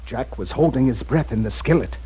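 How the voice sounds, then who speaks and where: A man narrating a scripted children's storybook in an expressive, dramatic way. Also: medium pitch, brisk, husky, low-quality recording. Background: loud music.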